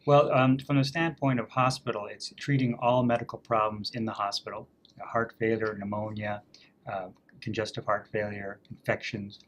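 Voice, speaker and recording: Casual: canadian-born family doc, lower voice, calm, lots of um and uh, a little room hiss. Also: male voice. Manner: measured, answers with fillers like um and uh. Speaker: family physician guest.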